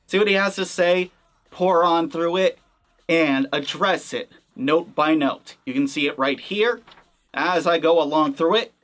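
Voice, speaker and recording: A man's voice: fairly high pitch, a little slow, fairly bright tone. A man hosting a gaming channel, talking through a topic in a casual, wry way with plenty of hedging. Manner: conversational, wry, hedging with fillers. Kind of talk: casual commentary.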